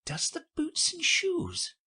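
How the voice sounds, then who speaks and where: Guy putting on a high little girl voice, polite and wondering, kinda husky, voice swoops a lot. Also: sing-song, a little slow, exaggerated voice. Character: curious polite young girl.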